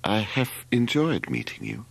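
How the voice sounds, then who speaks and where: A man voicing a shy, learned bearded naturalist in an audiobook, speaking slowly and meticulously with hesitant ums and pauses. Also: fairly low pitch, huge pitch swings, creaky, breathy edge, voice pushed up, heavily acted, rough recording. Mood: awkward, courteous.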